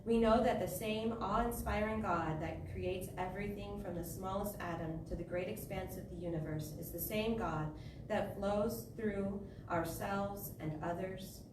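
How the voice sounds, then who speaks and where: A woman leading a spoken prayer service, phrasing solemnly and in measured short phrases. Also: mid-range voice. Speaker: service leader reading candle lighting and prayer. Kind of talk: spoken liturgy and guided prayer. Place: live service in a spiritual center. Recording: echoey room, distant mic, clear background noise.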